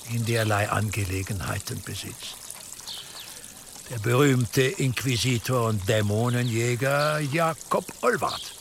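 An actor playing an imperious, slyly menacing church dignitary in a medieval radio drama, speaking deliberately and with condescending insinuation. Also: male voice, medium pitch, creaky, noisy background. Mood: smugly pleased. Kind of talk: German radio drama acting in a medieval setting.

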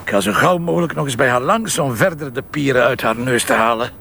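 Gruff, creaky Flemish police commissioner. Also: male voice, mid-range voice, fast talker, fairly bright tone. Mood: grumbling. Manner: blunt, grumbling, sarcastic. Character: gruff, sarcastic, impatient male police commissioner. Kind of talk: radio drama acting. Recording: faint background noise.

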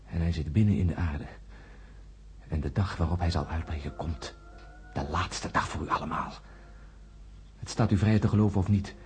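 A man playing a grim astronaut who narrates his ordeal in a radio drama, grave and measured. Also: low voice, warm tone, lightly acted. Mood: ominous warning. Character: grim astronaut Peter recounting his ordeal and warning of doom. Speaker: narrator and main character.

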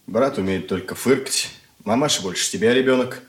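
Casting: man as defiant, wounded schoolboy, lowish voice, earnest and bitter, Soviet radio play. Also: a little fast, lightly acted. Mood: bitter, determined. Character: brooding, wounded, defiant schoolboy son Alexei. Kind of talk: Soviet radio drama acting.

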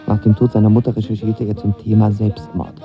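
A man's voice: on the low side, flat delivery, a little fast, lo-fi sound, soft background music, lightly acted. An actor playing a lovestruck young man of twenty who tells his story in casual slang in a radio drama. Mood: reflective. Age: young adult. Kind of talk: radio drama narration and dialogue.

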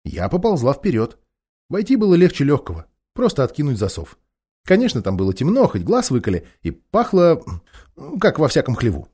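A man voicing a plucky, quick-witted young girl in a children's fantasy story, eager, mischievous and youthful in manner. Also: fairly high pitch, wildly swooping pitch, a little mellow, breathy edge, exaggerated voice. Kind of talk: children's fantasy audiobook character.

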